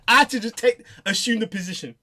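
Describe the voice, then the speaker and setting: A man from Southeast London with a London accent, hosting a faith interview in a playful, laughing, animated way. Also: extremely high pitch, a little fast. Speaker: host. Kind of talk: interview host, casual chat.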